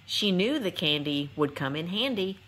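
Bright-voiced woman reading a picture book aloud. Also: on the low side, expressive, normal speed, poor audio. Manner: sing-song, rhythmic storytelling delivery. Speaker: read-aloud channel host. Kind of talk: expressive picture-book read-aloud for children.

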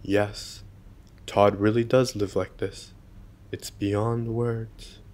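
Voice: medium pitch, little pitch movement, very slow, very noisy. An actor playing a snarky, dramatic narrator in a radio play, exasperated and secretly lonely. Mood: wry narrating. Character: exasperated, lonely narrator who mocks the man he follows.

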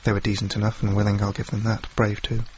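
An actor playing a reserved, educated young army officer of the war dead, speaking a radio drama monologue. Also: male voice, fairly low pitch, completely flat delivery, fast talker, natural voice. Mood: reflective, aloof. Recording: dull, faintly noisy recording with rain ambience.

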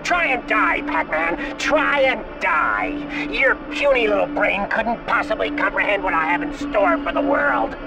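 A man's voice: high-pitched, very animated, measured pace, vocal fry, hardly any pauses, exaggerated voice. A man voicing a sneering, megalomaniac mad scientist in a cartoon video game, pompous and theatrical. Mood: gloating, menacing. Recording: distant voice under loud game music.

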